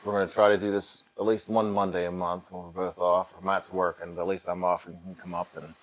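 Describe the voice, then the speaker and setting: A man's voice: sits low, little pitch movement, a little fast, rough recording. A middle-aged American man hosting a paranormal livestream in a casual, folksy way, repeating himself as he shows a product.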